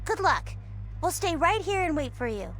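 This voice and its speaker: A woman's voice: extremely high pitch, very animated, moderate pace, fairly bright tone, faint music behind, heavily acted. A woman voicing an eager, bossy little girl in a video game, with a childlike manner. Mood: earnest. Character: excited, bossy little girl playing space crew make-believe.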